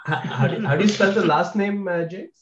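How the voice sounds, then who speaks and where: A man's voice: high voice, fairly quick, a loud crowd. A man answering as a quiz contestant, thinking aloud in a hesitant, tentative way. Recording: dull lo-fi online call audio.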